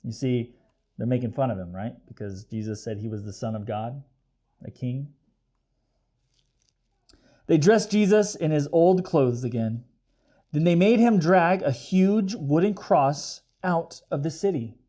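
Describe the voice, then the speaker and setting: A man reading a storybook aloud for children in an explanatory way, pausing to question his young listeners. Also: on the high side, mellow. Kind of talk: expressive children's read-aloud with asides.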